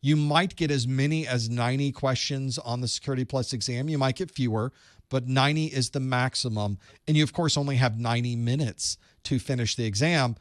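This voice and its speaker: A man's voice: medium pitch, a little fast, dry recording, clean studio sound. A man hosting a live call-in study group, giving advice in a conversational way and thinking aloud. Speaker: host of a certification study group.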